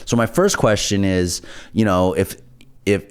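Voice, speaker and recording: A man's voice: low voice, a little slow, clean tone. A man hosting a casual interview, talking his way around to questions and often checking in for agreement. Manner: roundabout, checks in with "right?". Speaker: interview host.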